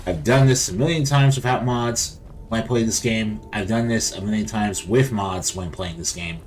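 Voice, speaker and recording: A man's voice: on the low side, moderate pace, slight background noise. A man giving casual, rambling game commentary as host, often repeating his phrases. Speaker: gaming video host.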